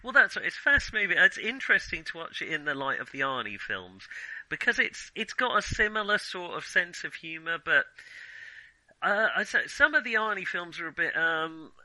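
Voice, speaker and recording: A man casually discussing films as a podcast co-host, talking in a halting, thinking-aloud way with an amused, chuckling edge. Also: sits high, very animated, pushed and bright, no echo, rough recording.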